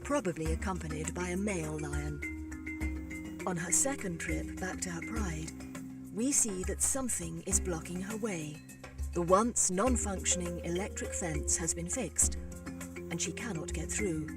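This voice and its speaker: A woman's voice: on the low side, a little slow. A woman reading scripted documentary narration. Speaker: video narrator. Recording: distant playback under loud music. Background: loud music.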